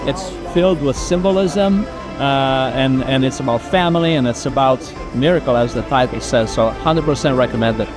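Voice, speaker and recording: A man's voice: medium pitch, normal speed, over music. A man, a minister from the United States, giving a film testimonial. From Seattle, United States.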